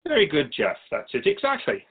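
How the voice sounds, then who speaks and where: Man playing lively-toned otherworldly spirit guide. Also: fairly high pitch, narrow, tinny audio. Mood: patient teaching. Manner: measured, explanatory, quizzical about human speech. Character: Keeper of Souls, calm otherworldly male spirit guide. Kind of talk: radio drama dialogue as interview guest.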